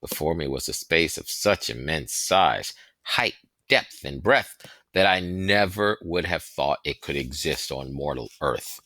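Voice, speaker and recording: A man reading a historical novel aloud as scripted narration, with deliberate, dramatic phrasing and stressed pauses. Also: extremely low pitch, expressive, bright, forceful tone, no room sound. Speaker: audiobook reader.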